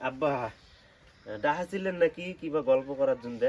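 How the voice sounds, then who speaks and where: A man's voice: fairly high pitch, moderate pace, darkish tone, lo-fi sound, natural voice. A young man with a northern Bangladeshi accent acting in a comedy skit as a lovestruck, cheeky eldest son. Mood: eager, flattering. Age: young adult. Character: lovestruck, cheeky eldest son who defies his father. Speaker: comedy skit performer and channel host. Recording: clearly noisy background.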